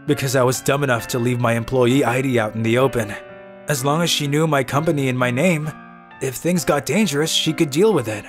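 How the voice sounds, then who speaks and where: Man acting as overworked young office worker, flustered, self-deprecating, medium pitch, manga dub, background music. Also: brisk, lightly acted. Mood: reflective. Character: flustered, self-deprecating overworked young salaryman narrating his own story. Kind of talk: manga story narration and dialogue acting. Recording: music under the voice.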